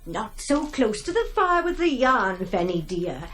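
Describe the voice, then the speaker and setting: Woman acting elderly granny, unhurried, expressive intonation, grandmotherly, ominous, radio horror storytelling. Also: on the high side, a little hiss or hum. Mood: fussing, chiding. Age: older. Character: old English granny, kindly but stern fireside storyteller.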